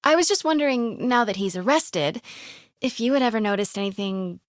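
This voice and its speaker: A woman playing a plucky, quick-witted young female investigative reporter in a video game, with a breathy voice. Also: on the high side, lively intonation, no room sound, studio quality, natural voice. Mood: polite, probing. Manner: hushed muttering to herself.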